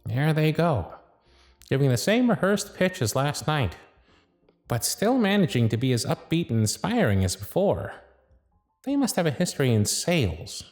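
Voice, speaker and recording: A man playing a bossy but fond elf workshop supervisor in an ASMR roleplay, brisk and teasing with soft asides. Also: mid-range voice, dry recording. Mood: fond, musing. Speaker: voice actor. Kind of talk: ASMR roleplay audio drama.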